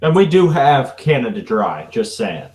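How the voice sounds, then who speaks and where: A man's voice: mid-range voice, sing-song, a little slow, a little mellow. Young man with dry podcast banter. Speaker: podcast co-host.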